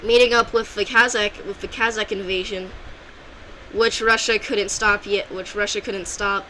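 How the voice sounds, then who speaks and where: A woman talks casually over an alternate-history map, keeping her voice bright and clear at a middling pitch. She rambles, backtracks and corrects herself often, like unscripted commentary. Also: steady tone, normal speed, a little hiss or hum, low-quality recording. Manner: loose and rambling, with frequent restarts and self-corrections.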